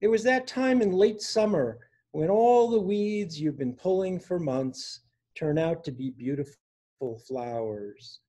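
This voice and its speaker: A man in his sixties reading his own poems for an online open mic, with a measured, deliberate delivery. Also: high voice, expressive, mellow. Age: 60s. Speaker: poet reading own work. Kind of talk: poetry reading at a virtual open mic, with informal introductions. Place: small room indoors at home.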